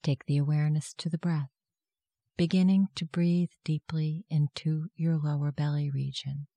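A meditation guide giving gentle, soft-spoken, measured instructions in a scripted guided meditation. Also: mid-range voice, level tone, no room sound.

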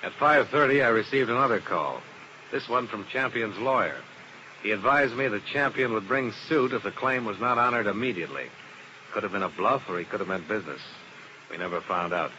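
A man's voice: lowish voice, fairly quick, natural voice. An actor playing a hard-boiled male insurance investigator who narrates the case in a clipped, matter-of-fact way, in a 1950s radio drama. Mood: terse. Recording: muffled old radio recording with hiss.

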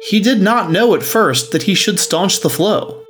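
A man's voice: high voice, lively intonation, normal speed, a bit bright, faint music behind. A man narrating a scripted story.

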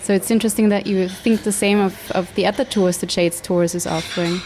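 A woman interviewing a guest, asking questions and reflecting on his answers in a thoughtful, measured way. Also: mid-range voice, fairly even intonation, noisy background.

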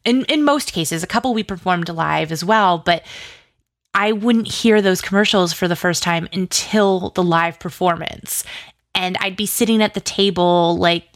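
A woman's voice: on the low side, measured pace. A woman being interviewed about creative work, speaking informally and effusively in tumbling asides. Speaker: interview guest, audio drama writer and producer. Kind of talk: casual interview chat.

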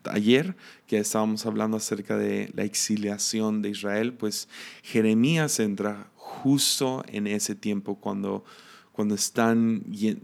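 A man's voice: lowish voice, creaky. A man giving an informal Bible lesson, hesitant, with many filler sounds and restarts. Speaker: Bible teacher.

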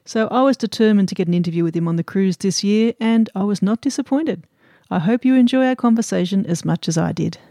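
A woman's voice: mid-range voice, fast talker, warm tone, dry recording. Australian woman hosting, dry sound. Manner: laughs often, friendly banter. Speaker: podcast host. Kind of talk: podcast interview. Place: on board a cruise ship.

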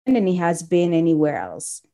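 A woman in her thirties, originally from Congo, talking casually as an interview guest, telling her story warmly with frequent restarts. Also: fairly low pitch, big pitch swings, normal speed.

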